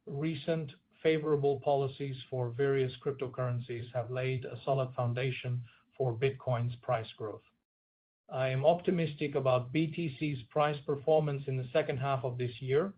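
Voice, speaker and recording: Phone-line man reading formal executive remarks. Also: mid-range voice. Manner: formal, read-out delivery. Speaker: chief executive. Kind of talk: scripted earnings call remarks. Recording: tinny phone-line conference call audio.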